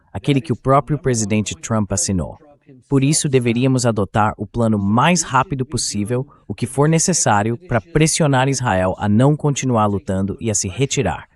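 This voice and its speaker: A man's voice: mid-range voice, lively intonation, normal speed. A man with a Brazilian accent reading a translated voice-over of political news commentary as the show's host.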